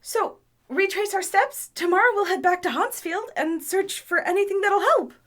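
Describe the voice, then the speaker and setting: Woman acting a high-voiced, scrappy young wanderer. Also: lively intonation, moderate pace, a bit bright. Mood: relieved. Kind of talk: audio drama dialogue.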